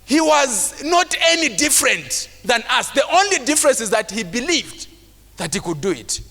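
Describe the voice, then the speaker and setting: A man with a mild stammer giving a preachy motivational talk to a live audience at a conference, acting out timid voices and sometimes shouting to make a point. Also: very high voice, very animated, normal speed, creaky. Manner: preachy, rising to shouts for emphasis; acts out timid voices of self-doubting people. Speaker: motivational speaker. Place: large conference hall. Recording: long hall reverb.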